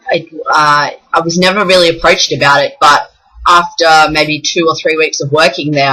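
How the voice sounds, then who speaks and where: A young Australian man from the south coast of New South Wales, talking frankly and in a rambling way in a personal vlog, with many pauses. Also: a little high, pushed and bright, poor audio. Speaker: vlogger. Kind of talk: casual personal vlog talk.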